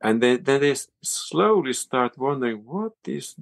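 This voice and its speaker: A man with a Finland-Swedish accent and Swedish as his first language, a yoga and cold-water teacher talking as an interview guest, halting and restarting often. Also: mid-range voice, expressive, gravelly, dry recording. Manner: halting, many restarts and fillers. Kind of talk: casual interview talk.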